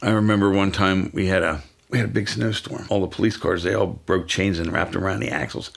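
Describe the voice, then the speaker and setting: Gravelly older man telling police stories. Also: deep voice, a little fast. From Pennsylvania, USA. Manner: animated, acts out quoted voices. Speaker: retired police officer, guest. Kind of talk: storytelling in casual conversation.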